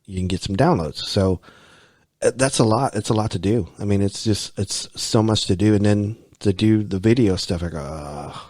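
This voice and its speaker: A man's voice: lowish voice, normal speed, darkish tone, dry recording, studio quality. An American man hosting a podcast, talking casually and rambling, often repeating himself and saying "you know". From United States. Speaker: podcast host. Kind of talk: casual conversation.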